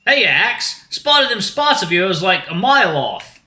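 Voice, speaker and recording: Man voicing a high, upbeat surfer-dude shark. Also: very animated, unhurried, bright, forceful tone, echoey room, full character voice. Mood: friendly, excited. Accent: put-on Australian. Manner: slangy surfer drawl full of "like" and "dude".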